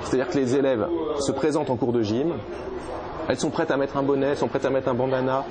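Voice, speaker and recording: A man's voice: medium pitch, steady tone, fast, a crowd in the background. A man giving political commentary in a documentary interview, hesitant with many fillers and restarts.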